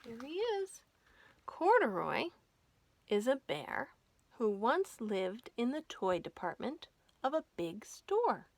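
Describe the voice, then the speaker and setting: Slow, high-pitched woman reading to children. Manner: animated, sing-song, with questions to young listeners. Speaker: children's librarian hosting storytime. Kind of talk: read-aloud storytime for young children.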